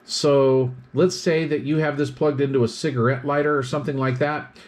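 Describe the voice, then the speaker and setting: A man's voice: mid-range voice, little pitch movement, moderate pace. A man giving a casual hands-on product review, explaining things step by step.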